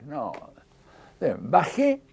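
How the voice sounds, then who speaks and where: A man's voice: a little high, huge pitch swings, drawn-out words, creaky, a little mellow. An older man with a Rioplatense Argentine accent, telling his story in an interview and acting out the dialogue he quotes.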